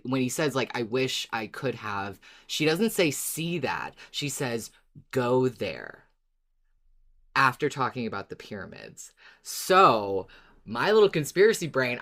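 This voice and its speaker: Male co-host, medium pitch, casual fan-discussion tone, dry humor, halting repeated phrase starts. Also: bright, forceful tone. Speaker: podcast co-host.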